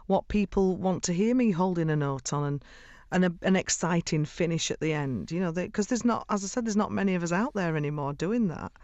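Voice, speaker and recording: A woman's voice: lowish voice, brisk, a little mellow, dry recording, muffled recording. A middle-aged woman from Sheffield, an effusive singer chatting in a radio interview and laughing often. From Sheffield, England.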